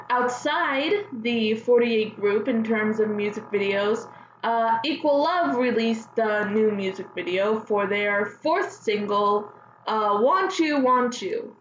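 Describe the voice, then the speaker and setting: A woman's voice: on the high side, reverberant, lo-fi sound, a little hiss or hum. A woman hosting casual fan news commentary, speaking as a gushing enthusiast with hesitant, filler-dotted delivery. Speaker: host of a fan news show.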